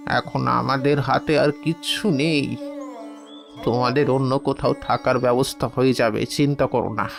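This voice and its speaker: A man acting as a cautious, resigned village father in a cartoon, gravelly and calming. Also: medium pitch, normal speed, over music, natural voice. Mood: soothing, resigned. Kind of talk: cartoon story dialogue.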